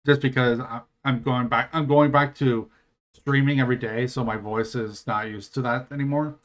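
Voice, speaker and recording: A man streaming a strategy game who thinks aloud in a relaxed way, his voice a little raspy and tired. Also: mid-range voice, normal speed. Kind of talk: live gameplay commentary.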